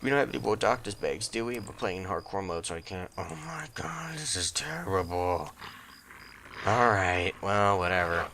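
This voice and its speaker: A man's voice: low-pitched, very animated, normal speed, vocal fry, noisy background. A young man hosting a let's play, chatting casually and rambling with self-deprecating jokes while he plays. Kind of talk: casual gameplay commentary.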